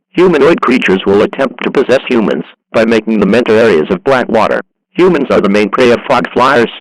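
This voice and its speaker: A man playing an impersonal government emergency alert announcer, reading a scripted broadcast in a formal, deliberate way. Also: fairly low pitch, no echo, low-quality recording.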